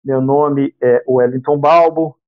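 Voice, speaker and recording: A man's voice: mid-range voice, fairly even intonation, slow pace. Man lecturing, thin lo-fi audio. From interior of São Paulo state, Brazil. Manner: explanatory, repeats and rephrases points. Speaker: guest lecturer. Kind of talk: spiritist lecture. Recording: dull lo-fi audio.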